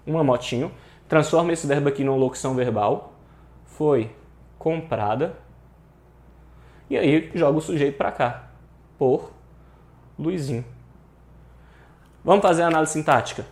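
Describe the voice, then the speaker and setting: A man's voice: a little high, moderate pace, pauses often, darkish tone. A Brazilian man teaching an informal grammar lesson, colloquial, often asking questions and answering them himself. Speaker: Portuguese grammar teacher for exam preparation. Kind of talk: informal online lesson, explaining with examples. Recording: echoey room.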